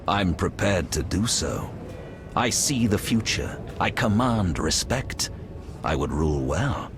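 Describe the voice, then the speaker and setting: A grandiose fantasy game villain, speaking low with big swings in pitch. He declaims like a solemn prophet convinced of his grand plan. Music plays prominently behind him. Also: lively intonation, slow. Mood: grandiose self-justifying. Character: zealous prophetic male order leader, grandiose fantasy villain. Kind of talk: dramatic fantasy video game acting.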